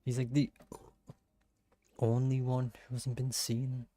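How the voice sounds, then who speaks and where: A man with a gravelly, husky voice doing casual gameplay commentary, musing aloud in halting bursts. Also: medium pitch, monotone, dark tone, no room sound. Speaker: game streamer.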